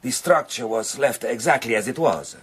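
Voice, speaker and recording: An actor playing a cautious, deferential hotel manager in a radio drama, polite and hesitant. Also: male voice, mid-range voice, expressive, normal speed, slightly rough. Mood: deferential, careful.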